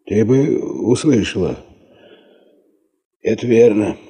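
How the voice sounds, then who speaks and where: An actor in a radio play playing a kindly, anxious old grandfather who speaks in halting short phrases. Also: expressive, exaggerated voice. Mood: uneasy. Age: older. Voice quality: husky, soft, low. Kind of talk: Russian radio play acting.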